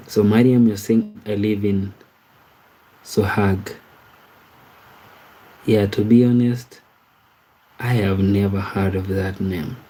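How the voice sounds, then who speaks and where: A man from Kenya hosting a casual livestream, reading viewer comments aloud and answering them in a halting way, with frequent repeats and restarts. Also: lowish voice, dark tone, husky, poor audio. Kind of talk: casual live-stream chat.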